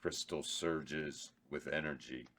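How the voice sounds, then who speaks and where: A man reads out the stage directions at a table read. His voice is very deep, and he keeps a plain, steady delivery. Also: takes it slowly, a little hiss or hum. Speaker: narrator reading stage directions. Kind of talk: reading a script aloud.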